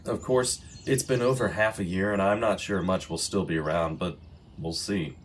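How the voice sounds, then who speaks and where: An actor playing an earnest, righteous former journalist investigating a killing in a radio drama. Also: male voice, deep voice, fairly even intonation, moderate pace, slight background noise, close to an everyday voice. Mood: puzzled, brooding. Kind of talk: audio drama acting in vintage radio manner. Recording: music laid under the voice. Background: plucked guitar music.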